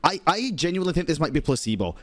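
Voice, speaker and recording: Animated male streamer tossing out asides. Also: a little high, rapid-fire, no room sound. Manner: joking, offhand, muttering asides, swearing. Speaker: game streamer. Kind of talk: casual livestream commentary.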